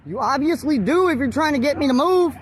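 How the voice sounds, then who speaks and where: Defiant high-voiced auditor on a roadside. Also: female voice, animated, fairly quick, some chatter behind. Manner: defiant, lecturing, with forced laughs. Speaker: first amendment auditor. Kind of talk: confrontational street recording. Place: outdoors at a roadside traffic stop. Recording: faint outdoor traffic noise.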